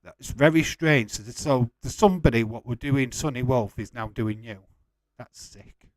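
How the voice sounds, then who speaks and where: A man's voice: medium pitch, moderate pace, no room sound. A man in his forties with a Yorkshire accent, hosting a casual livestream and reading out comments in a blunt, chummy, informal way. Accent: Yorkshire English. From West Yorkshire, England. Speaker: livestream host. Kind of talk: casual watch-along chat, reading out viewer comments.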